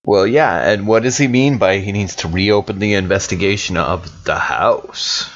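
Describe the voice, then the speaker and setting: A man's voice: fairly low pitch, normal speed, a bit bright, lo-fi sound. A man playing a tabletop game in character, chatting casually in slangy, laid-back wording.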